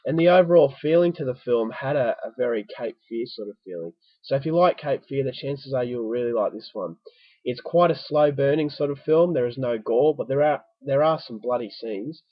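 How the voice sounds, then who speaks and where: A man's voice: medium pitch, a little fast, no echo. A man with a stuffy, congested voice, reviewing a film in a casual chatty way and repeating words for emphasis. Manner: conversational, doubles words for emphasis. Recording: dull, dry lo-fi home recording.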